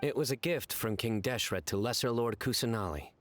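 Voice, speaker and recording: An actor playing a stern, composed law enforcer in a video game, speaking in a serious, measured, restrained way. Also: male voice, mid-range voice, noisy background, lightly acted. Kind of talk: video game character acting.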